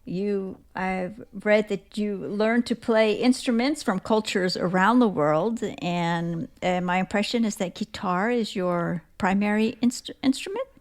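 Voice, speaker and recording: A woman hosting an interview podcast, speaking in a measured, clearly phrased way. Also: medium pitch. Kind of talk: scripted podcast introduction and interview.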